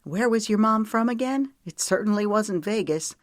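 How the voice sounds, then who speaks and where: A stubborn, emotional woman in an audiobook drama, voiced by a female narrator. She is earnest and defensive, answering back in a voice pitched a little above the storytelling tone, in a dry recording. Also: expressive, normal speed, no room sound, lightly acted. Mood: curious, dry. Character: stubborn, emotional woman pleading for her freedom.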